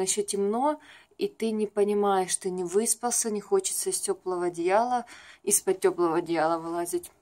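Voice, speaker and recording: A young woman with a medium-pitched voice chats to her camera at home. She speaks casually and confidingly, wandering through her stories as in a homemade vlog. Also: a little mellow. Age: young adult. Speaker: vlogger, makeup artist. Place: small furnished room at home.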